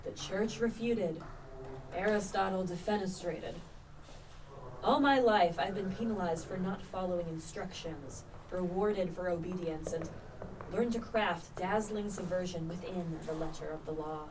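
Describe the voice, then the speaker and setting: A woman's voice: lowish voice, unhurried, lightly acted. A woman playing a witty young male Elizabethan poet turned spy in a radio play, speaking in a wry, eloquent, literary way. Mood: impassioned, sardonic. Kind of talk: radio play monologue and dialogue. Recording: distant mic with background noise.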